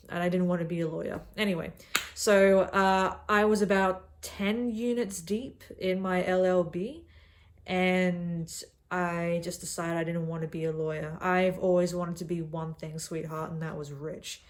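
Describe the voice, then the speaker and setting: A young Australian woman in her twenties talking casually to camera from a cabin, brash and self-mocking. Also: lowish voice. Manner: brash and self-mocking, calls the viewer sweetheart. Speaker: vlogger.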